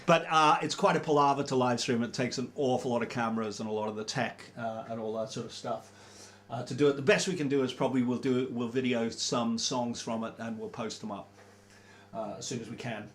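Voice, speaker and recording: A man hosting an informal livestream, talking in a relaxed, rambling way with lots of fillers. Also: mid-range voice, fairly quick, echoey room. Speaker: band member hosting a livestream.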